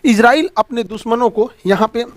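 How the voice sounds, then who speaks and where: A man's voice: very high voice, normal speed. A man from India with a Bihari accent gives an informal current affairs lecture, explaining colloquially with homely examples and rhetorical questions. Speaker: teacher.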